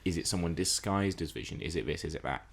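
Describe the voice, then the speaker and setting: A man co-hosting a casual film and TV podcast, building up his points deliberately with rhetorical questions. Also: low voice, gravelly, husky. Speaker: podcast co-host.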